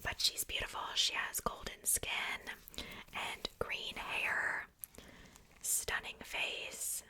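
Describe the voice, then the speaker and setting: A woman whispers in a very bright, crisp-edged hush. Her delivery is casual ASMR, informal and breathy, like showing things to a friend. The voice stays fully whispered throughout. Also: slow. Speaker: ASMR video creator.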